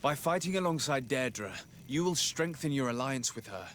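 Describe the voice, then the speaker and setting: An actor voices a composed nobleman who plans and negotiates in a video game. His speech is formal and measured, at a medium male pitch. Ambient noise runs behind him. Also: expressive, a bit bright, close to an everyday voice. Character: composed young noble diplomat and tactician.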